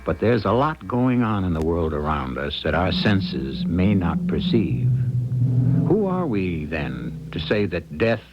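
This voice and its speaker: A man hosting a radio mystery drama, musing on the macabre in a deliberate, teasing, theatrical way. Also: low voice, exaggerated voice. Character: wry, macabre male mystery host musing on death. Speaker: host and narrator of a radio mystery series. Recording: dull 1970s radio broadcast, music behind.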